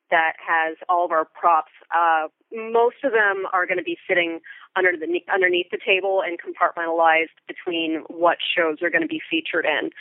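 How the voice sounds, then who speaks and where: An American woman being interviewed as a Foley artist, explaining things thoughtfully and in detail, with halting pauses. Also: fairly low pitch, no echo, narrow, tinny audio. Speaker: guest, theatre Foley artist. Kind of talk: interview conversation.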